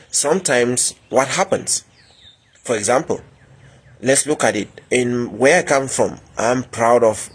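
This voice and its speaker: A man's voice: fairly low pitch, breathy edge. A middle-aged man, a medical instructor, giving a health talk with many fillers and repeated words. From Cameroon.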